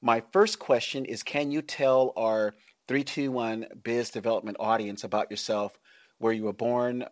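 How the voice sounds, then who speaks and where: A man's voice: on the low side, no room sound, dull audio. A middle-aged man with an American accent, hosting a podcast with scripted introductions and interview talk. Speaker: podcast host.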